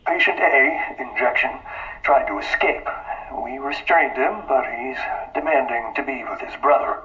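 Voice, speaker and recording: An actor playing a cold, methodical researcher dictating experiment logs in a detached clinical manner, heard through a tape filter in a game. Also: male voice, mid-range voice, bright, forceful tone. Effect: radio-like recorded tape filter. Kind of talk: scripted audio log. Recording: muffled distant tape playback, lo-fi.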